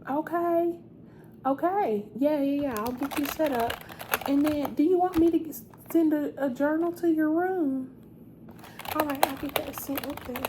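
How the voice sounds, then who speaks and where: A woman playing a sweet, chatty, gossipy hotel receptionist in a soft-spoken, confiding ASMR roleplay, repeating her words. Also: sits high, noisy background. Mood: helpful, friendly.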